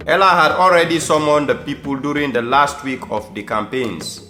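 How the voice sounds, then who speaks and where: A man's voice: on the high side, animated, moderate pace, bright, forceful tone. A man with a Sierra Leonean accent narrating a scripted audio drama as a storyteller. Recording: roomy echo under prominent music.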